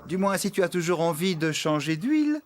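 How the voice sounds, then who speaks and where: A man's voice: high-pitched, sing-song, a little fast, soft background music. A man playing an easygoing, friendly farmhand in a children's cartoon, with a good-natured manner.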